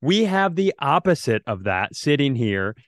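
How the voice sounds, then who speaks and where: A man's voice: medium pitch, very animated, a little slow, no echo, studio quality. A man co-hosting a podcast in casual comedic chat, with a rambling, wry way of talking. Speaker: podcast co-host.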